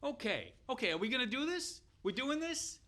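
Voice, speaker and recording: A man's voice: very high-pitched, big pitch swings, a little fast, sharp tone, heavily acted. A man playing a burly, aggrieved, argumentative outlaw in a comic radio play. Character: big, burly, indignant male outlaw with hurt pride. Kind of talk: radio-play comedy acting.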